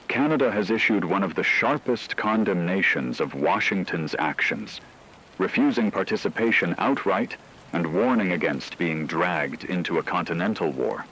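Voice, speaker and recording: A man playing a grave radio anchor, delivering a scripted emergency broadcast in a measured, formal manner. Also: sits low, fairly bright tone, a little hiss or hum, rough recording.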